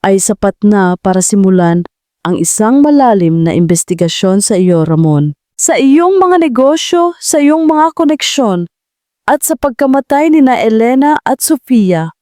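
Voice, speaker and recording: A woman's voice: a little high, sing-song, unhurried, warm tone, dry recording, studio quality. A woman playing an angry, commanding male tycoon in an audio drama, accusing and stern. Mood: resolute. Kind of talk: Tagalog audio drama acting.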